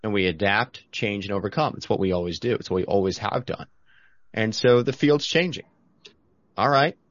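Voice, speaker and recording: A man's voice: on the low side, normal speed, a bit bright, dry recording. A man hosting a podcast who talks directly and puts coaching questions to his guest. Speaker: podcast host. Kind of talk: casual chat. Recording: dry, dull lo-fi audio.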